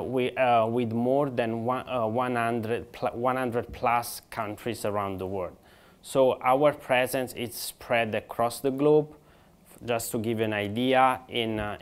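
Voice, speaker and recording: A middle-aged man with an Italian accent speaks to a conference audience in a mid-range voice. His delivery is conversational and halting, with frequent fillers and restarts as he works through his points. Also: mid-range voice, smooth. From Italy. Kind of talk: business conference presentation.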